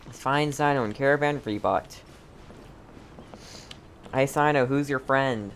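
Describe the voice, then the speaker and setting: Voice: mid-range voice, a little slow, slight background noise. A streamer giving casual, playful live reactions while playing a game, with giggly asides.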